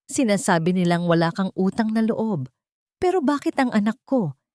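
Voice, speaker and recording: A woman's voice: mid-range voice, lively intonation, normal speed, darkish tone, no room sound, studio quality, pitched above the performer's own voice, lightly acted. A woman voicing a tired, confused wealthy father in an audio story, questioning and troubled. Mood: weary, pleading. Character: weary, confused wealthy man, a grieving father.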